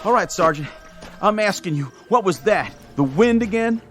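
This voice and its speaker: An earnest, jittery young soldier in a radio play. His high male voice jumps around in pitch, eager and excitable, with music underneath. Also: moderate pace. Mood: nervous. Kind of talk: radio drama acting.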